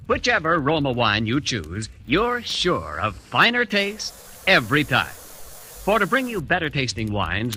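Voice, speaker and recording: A man's voice: on the high side, sharp tone. A man announcing a 1940s radio commercial in a polished, emphatic sales delivery. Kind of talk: 1940s radio advertising read. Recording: old radio broadcast, faint noise.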